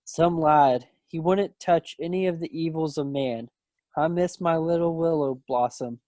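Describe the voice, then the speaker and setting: A breezy young serviceman of the Second World War acted by a man in a play reading. His voice is on the high side, rueful and a bit boastful, heard very dry over a video call. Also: measured pace, warm tone, no room sound, close to an everyday voice. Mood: wry, rueful. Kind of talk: dramatic table read of a stage play. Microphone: computer mic on a video call.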